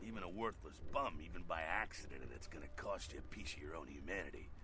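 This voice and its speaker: A deep-voiced, swaggering vampire mentor from a video game. His delivery swings widely in pitch and is full of gravel and street slang, cocky and preachy, with noticeable noise behind it. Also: expressive, fast talker. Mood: stern warning. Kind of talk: video game character acting.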